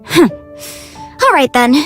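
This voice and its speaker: A woman voicing a bright, confident young female legal adviser in a video game, chatty and proud, articulate and expressive. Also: sits high, slow pace, heavily acted. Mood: curious, pondering. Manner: articulate, expressive, self-assured. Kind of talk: scripted video game character monologue. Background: music.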